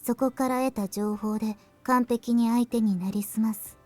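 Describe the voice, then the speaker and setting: A woman playing a calm, matter-of-fact female teammate in a Japanese visual novel, laying out facts. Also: on the high side, quick pace, faint music behind, close to an everyday voice.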